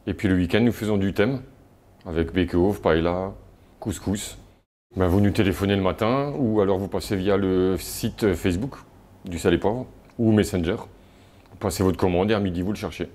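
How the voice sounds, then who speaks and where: A man's voice: low voice, normal speed, a little mellow. A man who runs a restaurant, casually describing daily dishes and ordering in a local TV interview. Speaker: restaurant manager.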